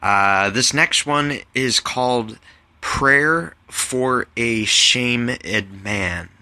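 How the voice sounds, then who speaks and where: A man of thirty reading his own writing on a podcast and explaining it in a deliberate way. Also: mid-range voice, lively intonation, sharp tone, smooth, dry recording. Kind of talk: literary reading mixed with casual explanation.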